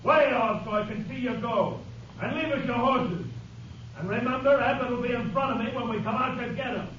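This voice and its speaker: An actor playing a desperate outlaw who holds a hostage and taunts the lawmen, in 1950s radio drama style. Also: male voice, very high voice, fairly quick, fairly bright tone, distant mic, slight background noise. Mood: menacing. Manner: taunting, menacing. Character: cornered male outlaw holding a hostage, sneering and desperate. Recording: dull old radio recording with echo from afar.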